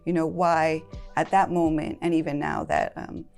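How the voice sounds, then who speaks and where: A woman's voice: low-pitched, level tone, moderate pace, gravelly, darkish tone, soft background music. A woman from San Jose speaking as a board president in an interview, explaining steadily and fluently. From San Jose, California. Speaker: land trust board president. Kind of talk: interview talk.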